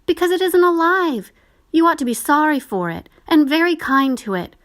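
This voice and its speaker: A woman's voice: high-pitched, expressive, moderate pace, voice pushed up. A woman voicing an earnest, tender-hearted little girl who pleads for her doll in a storybook reading.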